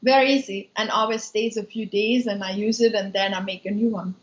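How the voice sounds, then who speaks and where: A woman's voice: mid-range voice, steady tone, brisk. A woman from Austria with an Austrian German accent, chatting loosely on a live stream, answering viewer comments with many ums. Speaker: food maker and business owner. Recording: echoey room, dull lo-fi sound.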